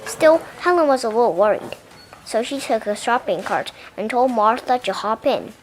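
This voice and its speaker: A woman reading a children's picture book aloud as an expressive narrator. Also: on the high side, normal speed, slight background noise, low-quality recording. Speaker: storybook reader.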